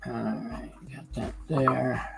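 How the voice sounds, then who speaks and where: A man live streaming his hobby, talking casually and haltingly while he works on a model layout, with lots of fillers and restarts. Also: mid-range voice, little pitch movement, creaky, over music.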